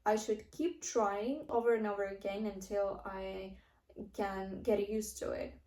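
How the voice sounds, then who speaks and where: A young woman from Russia with a Russian accent, talking earnestly to camera as she teaches English, often pausing on fillers and restarting her sentences. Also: medium pitch, steady tone, dark tone. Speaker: English-learning vlogger. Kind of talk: casual teaching monologue to camera. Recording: echoey room.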